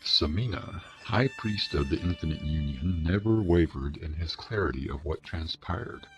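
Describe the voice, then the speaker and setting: A man's voice: low voice, low-quality recording. A man narrating scripted fantasy lore in a solemn, grandiose storytelling manner. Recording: music bed under narration. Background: music.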